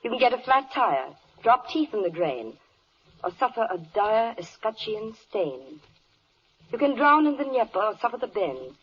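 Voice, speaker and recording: Husky, lilting, eloquent radio queen. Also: on the high side, very animated, moderate pace. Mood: wry, lamenting. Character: charming, eloquent queen speaking for the animal kingdom. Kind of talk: 1940s radio acting in rhymed verse. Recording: muffled vintage 1940s radio recording.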